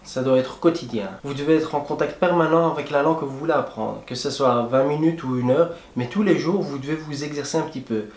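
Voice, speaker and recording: A man's voice: a little high, a little fast, few pauses, darkish tone. A young man giving friendly, plain-spoken advice straight to his viewers in a casual travel vlog. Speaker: travel vlogger. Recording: echoey room.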